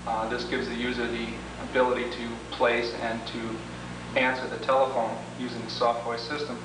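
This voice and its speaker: Male instructor, mid-pitched voice, methodical step-by-step demonstration, formal wording, heavily echoing room, noisy homemade 1980s video recording. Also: monotone, normal speed, distant mic. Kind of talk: instructional training-tape walkthrough.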